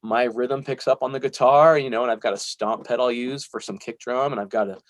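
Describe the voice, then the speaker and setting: A man's voice: mid-range voice, fairly even intonation, brisk, no echo. A man being interviewed as a musician, chatting casually in a rambling, self-deprecating way.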